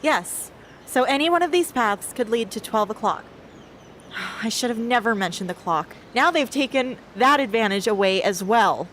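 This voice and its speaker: Woman acting urgent teen girl survivor, radio play, very high voice, noisy birdsong behind. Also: lively intonation, normal speed, a bit bright. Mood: tense. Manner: emotional, urgent. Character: anguished, protective teenage girl fighting for survival. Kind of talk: amateur radio drama acting. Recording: noisy, with birds and outdoor ambience.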